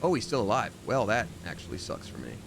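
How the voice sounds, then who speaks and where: A man chatting casually and at length while streaming a video game, rambling along and jumping up in excitement. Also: fairly low pitch, quick pace, creaky, background noise. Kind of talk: live gameplay commentary. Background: game music.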